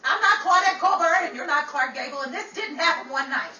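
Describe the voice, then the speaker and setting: A woman playing a high-voiced, brash 1930s wisecracker. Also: a little fast, bright, forceful tone. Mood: flustered protest. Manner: sassy, stammering when flustered. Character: brash, wisecracking 1930s woman radio broadcaster, reluctant and indignant. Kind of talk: 1930s radio comedy acting. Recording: roomy, distant, noisy with crowd behind.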